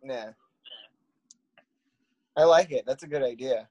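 A man's voice: mid-range voice, takes it slowly, warm tone, breathy edge. A young man in his twenties making teasing wisecracks on a call. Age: 20s.

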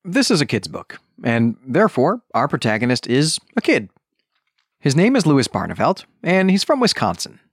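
A man's voice: medium pitch, huge pitch swings, moderate pace, breathy edge, dry recording, clean studio sound. A man hosting a solo book club podcast, talking conversationally with self-correcting asides. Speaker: podcast host.

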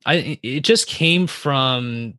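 A young man in his twenties, a podcast guest talking casually, with many restarts and soft laughs. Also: mid-range voice, drawn-out words, no room sound, clean studio sound. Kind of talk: casual interview chat.

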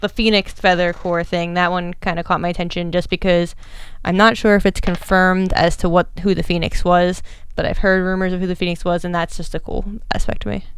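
A woman's voice: fairly low pitch, flat delivery, clear, resonant tone, no room sound. A thirty-year-old woman co-hosting a podcast, talking candidly and emphatically in casual chat. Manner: candid, emphatic, quick asides. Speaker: podcast co-host.

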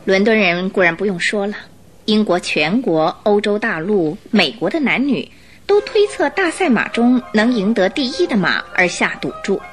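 A woman gives scripted narration for a radio play. Her mid-pitched voice is animated and expressive, telling the story over faint music. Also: moderate pace.

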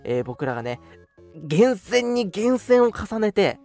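抑揚豊かな男性ラジオパーソナリティ. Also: male voice, high voice, sing-song, takes it slowly, a little mellow, faint music behind. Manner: polite announcer phrasing mixed with playful banter. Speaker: radio show host and scriptwriter. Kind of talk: casual hosting with announcements.

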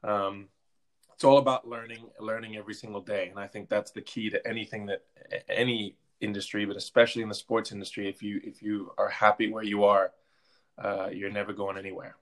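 A man's voice: lowish voice, little pitch movement, fairly quick. A man from Belgium speaking informally in an interview as a soccer coach, often circling back and repeating words. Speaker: interview guest, soccer coach and team captain. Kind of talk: conversational interview.

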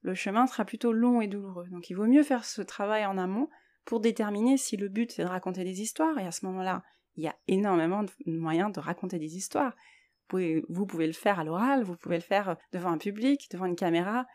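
A woman's voice: mid-range voice, a little fast, a little mellow. A woman hosting a solo podcast, talking reflectively and hesitantly as she thinks aloud. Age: 30s or older. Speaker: podcast host.

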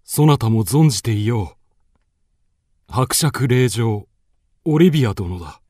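Lordly duke with swooping delivery. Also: male voice, mid-range voice, animated, measured pace, dark tone, dry recording, studio quality. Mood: confiding. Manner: lordly, theatrical, formal. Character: lovestruck, dignified duke, earnest and imploring with his page. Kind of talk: radio drama acting.

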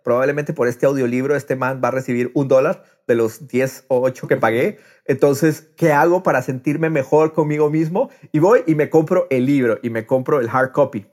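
A man's voice: medium pitch, normal speed, few pauses, a little mellow. A man chatting casually on a podcast, dropping English phrases into his speech and acting out imagined quotes. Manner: drops English phrases into Spanish. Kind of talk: casual conversational podcast talk.

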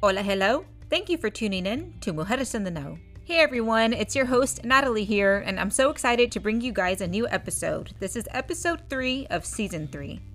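A woman's voice: fairly high pitch, expressive, a little fast, few pauses, a bit bright, faint music behind. A woman hosting a podcast, welcoming listeners and introducing her guest with enthusiasm. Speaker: podcast host.

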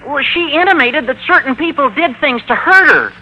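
An extremely high-voiced teenage schoolboy in an old radio comedy. The voice squeaks and cracks, whining and argumentative, through clearly noisy vintage audio. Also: male voice, animated, normal speed, highs cut off. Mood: nervous. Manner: whiny, argumentative. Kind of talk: 1940s-50s radio comedy acting.

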